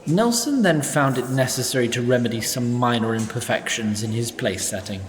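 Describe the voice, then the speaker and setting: A man's voice: mid-range voice, moderate pace, reverberant, long reverb tail, recorded from a distance, natural voice. A man narrating a fantasy audio drama as a wry, ancient sprite, with dry asides to the listener.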